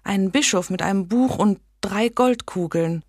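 A woman's voice: medium pitch, normal speed, a little mellow, no room sound, studio quality, pitched above the performer's own voice, close to an everyday voice. A woman voicing a pious young noblewoman who earnestly pleads with a king, in a storybook reading. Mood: earnest pleading. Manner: earnest, imploring.

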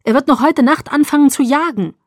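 A woman's voice: mid-range voice, very animated, moderate pace, breathy edge, dry recording, studio quality. A woman voicing an intense young male vampire who speaks in urgent, clipped commands in an audiobook.

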